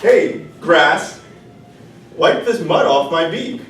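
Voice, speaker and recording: A rude, cocky little rooster, put on by a man telling a folk tale, shouting orders at everyone he meets. The voice jumps higher and louder than the teller's own. It sounds distant in a very echoey room. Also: lively intonation, slow, a little hiss or hum. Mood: bossy. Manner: demanding, raised voice.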